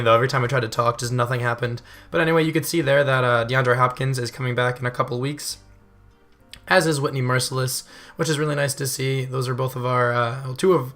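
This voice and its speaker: A young man with a voice still hoarse from illness, giving casual, rambling video game commentary and often correcting himself. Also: medium pitch, a bit bright. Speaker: gaming channel host.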